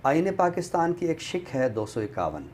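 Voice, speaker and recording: A man with a Pakistani accent, speaking as an expert guest on a literary talk show in a measured, explanatory way. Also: a little high, big pitch swings, a little mellow. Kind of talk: conversational commentary on a talk show.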